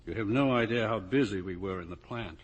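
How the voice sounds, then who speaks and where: Casting: troubled older partner, anxious and halting, low-set raspy voice, vintage radio drama. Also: male voice, dull audio. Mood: weary, sorrowful. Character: anxious, guilt-ridden older business partner, now a prisoner. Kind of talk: 1950 radio drama acting.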